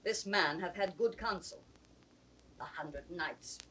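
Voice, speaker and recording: A woman's voice: on the low side, takes it slowly, a bit bright, slightly rough, far from the mic, full character voice, rough recording. An actress playing a cold, scheming, imperious noblewoman in a classical 1960s Shakespeare audio drama, with commanding, scornful theatrical phrasing. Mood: calculating.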